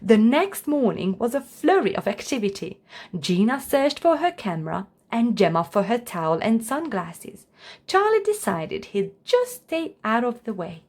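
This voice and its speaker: A woman's voice: a little high, big pitch swings, moderate pace, darkish tone. A woman reading a scripted children's story aloud as narrator.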